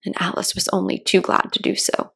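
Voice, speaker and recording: A woman's voice: a little fast, fairly bright tone. A woman narrating a children's book as a read-aloud storyteller. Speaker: storybook reader.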